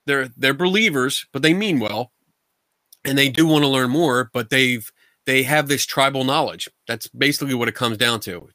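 A middle-aged man from the United States talks over a video call, blunt and colloquial, with animated energy. His voice is bright and forceful, with a dry, close sound. He speaks from a room at home. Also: medium pitch, normal speed, no echo. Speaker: guest paranormal investigator. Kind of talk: casual video call interview. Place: home room full of collectibles.

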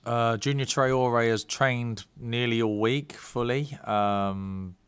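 A man in his thirties, a football manager at a press conference, giving measured answers with frequent ums and restarts into a desk mic. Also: mid-range voice, fairly even intonation, smooth, no echo. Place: club press room.

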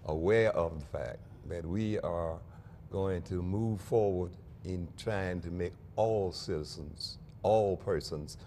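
An older man, a pastor, speaking earnestly and at a measured pace in a studio interview on a lapel mic, with small restarts. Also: low-pitched, dark tone, a little hiss or hum. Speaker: pastor and convention guest.

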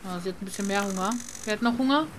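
A woman's voice: mid-range voice, racing, a little hiss or hum. A woman doing casual let's play commentary, reading game text aloud and muttering to herself. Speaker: gaming let's player. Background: video game music.

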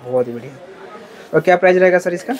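A man's voice: high voice, fast, darkish tone, slight background noise. A man filming a shop-tour vlog in a card shop, talking to viewers with eager praise and repeating prices. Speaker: market vlogger.

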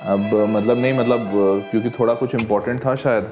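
A man's voice: mid-range voice, steady tone, a little fast. A man, an online teacher chatting casually in a playful, teasing manner. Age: 30s. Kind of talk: casual prank phone call chat. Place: indoor teaching studio. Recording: background music under the voice. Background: music.

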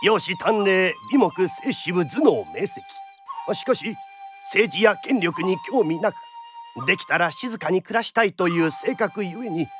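An actor narrating a period radio drama as a theatrical kodan storyteller, formal and declamatory. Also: male voice, high voice, a little slow, a bit bright, soft background music. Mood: dramatic narration. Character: lively traditional storyteller narrating a period tale.

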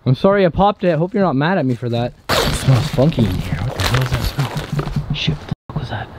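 A man's voice: mid-range voice, expressive, a little fast. Jittery male vlogger, noisy outdoor recording. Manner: jittery, breathless, heavy swearing, repeats phrases. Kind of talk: whispered and hushed first-person exploration vlog. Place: outdoors at an abandoned campsite. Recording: noisy outdoor handheld ambience.

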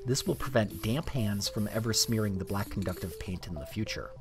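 A man reading a scripted DIY tutorial narration in a clear, step-by-step instructional way. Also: fairly low pitch, fairly quick, heavy background noise.

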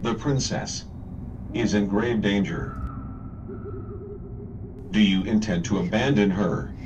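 A man's voice: fairly low pitch, takes it slowly, lo-fi sound. A man playing a stubborn, dutiful knight in a video game fan dub, speaking earnestly, formally and emphatically. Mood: urgent. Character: stubborn, dutiful adult male knight protecting a princess. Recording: distant microphone, clearly noisy.

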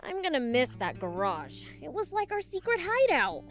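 An actress playing a boyish young demon prince in a video game, cocky, excitable, brash and informal. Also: female voice, high voice, moderate pace, faint music behind, full character voice. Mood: wistful.

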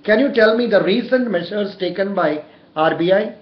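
An older man with an Indian accent conducts a practice interview in an encouraging way, often giving short approvals. His voice sits a little high. The recording is echoey and somewhat dull, made in a room. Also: moderate pace. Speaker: interviewer and career coach. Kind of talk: mock job interview over the telephone. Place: small indoor room.

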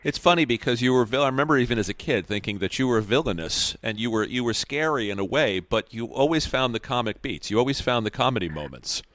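A man's voice: mid-range voice, animated, fast, few pauses, fairly bright tone, no echo. A man hosting a podcast interview, asking eager questions packed with facts. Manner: eager, fact-filled questions. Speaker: podcast host. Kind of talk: interview chat.

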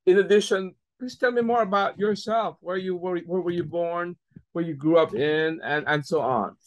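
A middle-aged man interviewing a guest over a video call, asking long, measured questions. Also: high voice, poor audio. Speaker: entertainment journalist, interviewer.

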